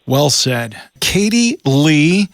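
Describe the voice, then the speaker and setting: A man's voice: a little high, very animated, very slow, no echo, studio quality. A man in his forties hosting a radio interview in a conversational way. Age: 40s. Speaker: radio show host.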